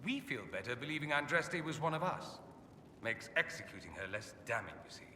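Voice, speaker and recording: An actor playing a witty, haughty mage from a fantasy empire, speaking with suave, theatrically arch sarcasm in a video game. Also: male voice, mid-range voice, big pitch swings, a little fast, sharp tone, recorded from a distance. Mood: sardonic, amused. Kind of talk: video game character dialogue. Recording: echoey hall, clear background ambience noise.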